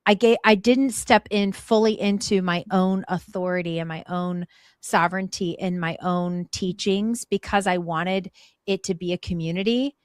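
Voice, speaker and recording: A woman's voice: fairly low pitch, steady tone, moderate pace, smooth, dry recording. Woman, guest in a candid talk, earnest and reflective, restarts often, dry close sound. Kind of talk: candid conversation.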